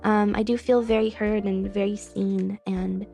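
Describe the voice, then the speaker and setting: A woman's voice: mid-range voice, steady tone, unhurried, creaky, dark tone, soft background music. A woman guest on a podcast, chatting casually in a bubbly, giggly way.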